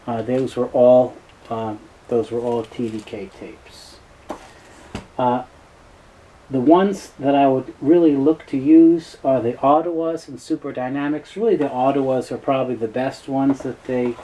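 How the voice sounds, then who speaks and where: Mellow, halting middle-aged man explaining products. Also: mid-range voice, steady tone, slight background noise, rough recording. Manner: hesitant, halting phrasing with frequent uh pauses. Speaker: presenter, tape specialist. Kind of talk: informal product overview explainer.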